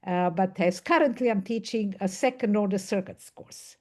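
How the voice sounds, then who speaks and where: A woman's voice: medium pitch, moderate pace, darkish tone. An older woman from Serbia, a professor speaking as a panelist on a video call, explaining thoughtfully at length. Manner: thoughtful, explanatory, with occasional laughs. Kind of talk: online panel discussion.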